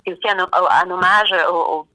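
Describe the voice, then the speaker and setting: A woman's voice: fairly low pitch, moderate pace, pushed and bright, rough recording. A woman from Argentina, singer and songwriter of a band, talking as a radio interview guest, hesitant with many fillers and repeated words. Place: radio studio.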